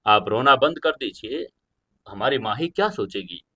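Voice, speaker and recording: A man playing a gentle young hero who reassures others and hides his pain in an audio story. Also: mid-range voice, lively intonation, unhurried. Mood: tender, reassuring. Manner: soft-hearted, evasive, reassuring.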